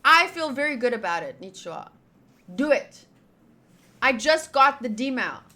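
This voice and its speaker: A young woman gives casual commentary on a game as she plays. Her voice is bright and fairly high. She is chatty and playful, moving between reading dialogue aloud and rambling asides. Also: sing-song, a little slow. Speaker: streamer.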